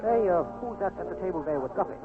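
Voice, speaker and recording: An actor playing a brash, wisecracking American newspaper reporter in a 1940s radio drama, with a brisk, cocky manner. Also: male voice, sits high, lively intonation. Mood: breezy, cocky. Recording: muffled 1940s radio broadcast with music.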